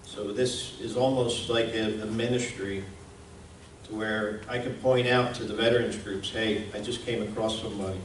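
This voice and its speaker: A man giving an informal presentation, speaking in a plain-spoken, folksy, halting way. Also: on the low side, steady tone. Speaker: presenter, radio station founder. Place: indoor museum event room. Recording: roomy, distant mic, clear background noise.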